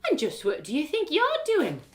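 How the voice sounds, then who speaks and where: A woman's voice: high-pitched, wildly swooping pitch, normal speed, voice pushed up. A woman voicing an anxious, domineering village mother in a fantasy story, scolding and insistent. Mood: nervous dismissal.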